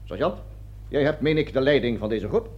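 A man's voice: medium pitch, expressive, brisk, lightly acted. An actor playing a bossy, pompous army colonel who lectures his troops in a satirical radio play. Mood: lecturing, brisk. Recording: dull lo-fi old radio recording.

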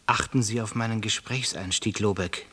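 An actor playing a polite doorstep salesman making his pitch in a German radio play. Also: steady tone, moderate pace, very noisy, close to an everyday voice. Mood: officious.

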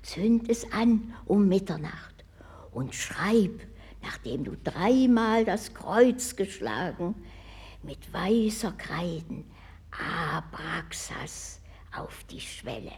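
A superstitious old woman in a 1960s German radio drama. Her voice is dark and extremely breathy, often near a hoarse whisper. She draws words out slowly, like a fearful incantation. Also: fairly low pitch, expressive, full character voice. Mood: secretive, incantatory. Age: older. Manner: slow, incantatory, stubborn. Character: frightened superstitious old woman muttering folk charms. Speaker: actress in a radio play.

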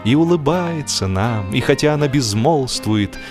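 A man narrating a literary story in an expressive, dramatic radio style, telling it in the first person with deliberate pauses. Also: medium pitch. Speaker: audiobook narrator, actor. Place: radio studio. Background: music.

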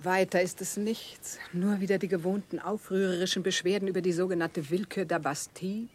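Schauspielerin als hochmütige Königin, eher tiefe Frauenstimme. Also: female voice, fairly low pitch, steady tone, brisk, slight background noise, lightly acted. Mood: offended, disdainful. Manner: imperious, affected, condescending. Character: haughty, capricious, pleasure-seeking queen of France. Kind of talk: German radio play, theatrical stage diction.

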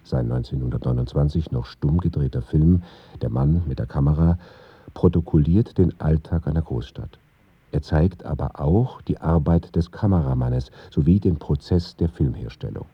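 A man narrating a scripted radio documentary in a husky voice. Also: very deep voice, normal speed, dry recording, dull audio.

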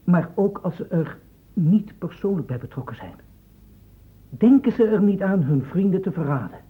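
An actor playing an earnest, concerned male investigator in a radio drama, with a husky voice and careful, formal speech. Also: sits high, lively intonation, normal speed, full character voice. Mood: earnest, thoughtful. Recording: muffled phone-like old radio recording.

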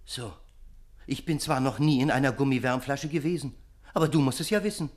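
An actor in a radio play voicing a sensible, concerned friend who questions with dry, exasperated scepticism. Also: male voice, medium pitch, fairly quick, lightly acted. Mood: dry, sceptical. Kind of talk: theatrical radio play acting.

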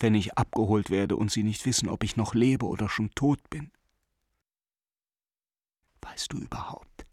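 A frightened man begging for mercy in a radio drama letter. His voice is husky and creaky, recorded close and dry. He speaks haltingly and imploringly, sometimes sinking into a whisper. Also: medium pitch, dry recording, exaggerated voice. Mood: pleading, fearful. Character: desperate frightened German man pleading by letter with old friend.

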